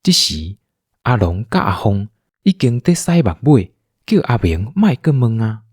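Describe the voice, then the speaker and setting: Low, very animated Taiwanese male narrator. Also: unhurried, husky, no room sound, studio quality. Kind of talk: animated storytelling narration for radio drama.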